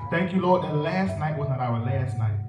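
Young Southern man preaching from the pulpit, slightly high voice, roomy church sound, organ behind. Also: expressive, moderate pace. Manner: rhythmic, building call-and-response preaching. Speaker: minister preaching a sermonette. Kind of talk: sermon with prayer. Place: church sanctuary. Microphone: stand mic at pulpit, filmed by far camera. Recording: echoey church from far off. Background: organ music.

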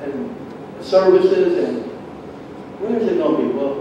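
A man giving a personal testimony in church in a conversational, halting storytelling way, acting out bits of dialogue. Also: high voice, lively intonation. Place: large church sanctuary, indoors. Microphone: far camera at back of the room. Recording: echoey church hall, distant mic, muffled, noisy.

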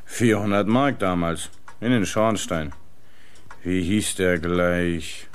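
An actor playing a gruff, plain-spoken master butcher in a German radio crime play, chatting in a grumbling manner. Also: male voice, sits low, takes it slowly.

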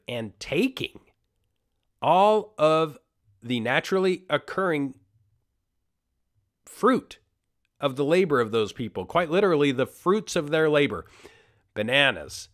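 A man's voice: medium pitch, a bit bright. A man from Costa Rica giving an emphatic, conspiratorial monologue as a podcast host. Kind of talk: monologue commentary.

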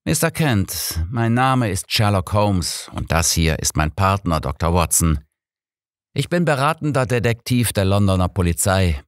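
A man voicing an old gentleman detective, formal, brisk and commanding, in an audiobook. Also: sits low, sing-song, dry recording, studio quality. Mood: formal, inquiring. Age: older.

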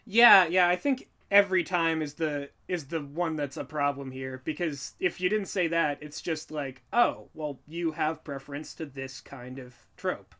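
A man co-hosting a casual commentary chat in an animated, informal way, thinking aloud as he goes. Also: fairly high pitch, a little slow, few pauses. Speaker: co-host, audio drama writer.

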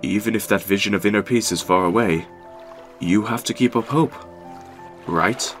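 A man voicing a big, gentle, hopeful goat monster father in an amateur comic dub. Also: fairly low pitch, normal speed, soft background music. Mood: hopeful, wistful.

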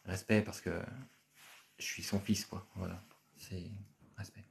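A man, talking straight into a phone held at arm's length in a small office. His voice sits a bit low with a gravelly edge. He reads viewer comments aloud and answers them in a relaxed, rambling way, repeating words and pausing on fillers. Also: a little hiss or hum. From France. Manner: chatty, repeats himself, many filler pauses. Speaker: live stream host. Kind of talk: casual live-stream chat, reading and answering viewer comments. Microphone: phone camera at arm's length.

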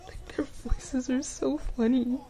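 Woman acting a very slow, sleepy girlfriend. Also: sits high, steady tone, mellow. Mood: drowsy, amused. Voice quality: sleepy, breathy, often half-whispered. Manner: drowsy, slow, casual murmuring. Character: sleepy, affectionate young girlfriend cuddling while watching TV. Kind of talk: intimate ASMR girlfriend roleplay.

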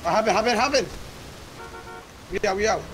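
A man with a slightly high voice chats casually while gaming online. His talk is slangy, sweary and animated. Clear game car noise runs behind him. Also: normal speed. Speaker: gamer playing online roleplay. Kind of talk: casual gameplay chat with friends. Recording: noisy game audio with car engines. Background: game car and street noise.

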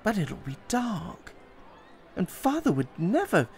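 A man's voice: high voice, wildly swooping pitch, takes it slowly, darkish tone, pitched above the performer's own voice, full character voice. A man voicing a hesitant young child unsure about a late-night invitation. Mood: worried. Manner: hesitant, uncertain.

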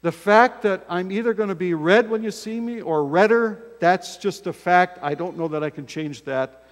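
A man's voice: high-pitched, normal speed. An older male pastor preaching a folksy, self-deprecating sermon from the pulpit of a church sanctuary, filmed by a camera across the room. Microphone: fixed camera from across the church. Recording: long church reverb.

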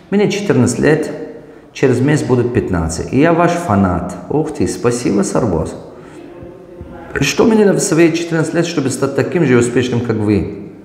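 A man in his forties with a Tajik accent, a business mentor answering viewer questions on raising children, acting out conversations with his kids. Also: medium pitch. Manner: animated, gestures broadly, acts out dialogue with his children. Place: office with glass partitions. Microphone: lapel mic. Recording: echoey room with long reverb.

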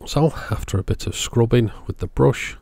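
A man's voice: mid-range voice, moderate pace, creaky, mellow, husky, no room sound. A man narrating a hands-on repair tutorial in a matter-of-fact, step-by-step way. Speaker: host of an electronics repair channel.

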